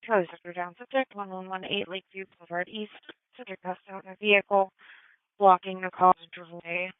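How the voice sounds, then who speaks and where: A woman's voice: mid-range voice, steady tone, brisk, smooth, no echo. A woman working as a police dispatcher, giving clipped, procedural calls with codes and addresses over a radio. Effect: police radio transmission. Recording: tinny narrow-band police scanner radio.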